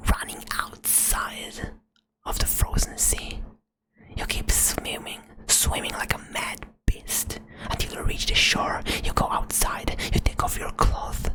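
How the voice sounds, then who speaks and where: A woman whispering a fantasy adventure to the listener in the second person, close to the microphone, as ASMR narration. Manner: soft whisper throughout, second-person telling. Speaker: storyteller.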